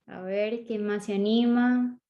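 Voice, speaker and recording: A woman from southern Venezuela, with a Venezuelan accent that sounds a bit Colombian, hosting an online webinar and greeting attendees warmly by name. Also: mid-range voice, steady tone, takes it slowly, highs cut off. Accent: Venezuelan, slightly Colombian-sounding. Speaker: webinar host. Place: online video call.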